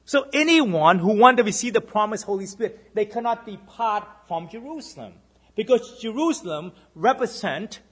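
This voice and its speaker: A man with a Boston accent delivers a sermon in a slightly husky, higher-than-usual voice. He keeps asking his congregation "right?" and swings between emphatic lifts and quiet, dropped repetitions. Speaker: minister.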